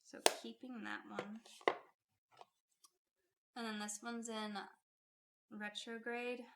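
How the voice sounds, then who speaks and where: A woman's voice: on the high side, flat delivery, takes it slowly, creaky, a bit bright, a little hiss or hum. A woman hosting a casual makeup vlog, chatty and friendly, her voice still a little off from a recent illness. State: recovering from illness, voice off. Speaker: beauty YouTuber.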